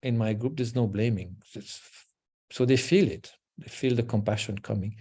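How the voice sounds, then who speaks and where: Gentle slow-spoken man, mellow voice. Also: lowish voice, slightly rough. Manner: gentle, slow, repeats words softly. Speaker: parenting coach, guest speaker. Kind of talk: webinar talk. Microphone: video call.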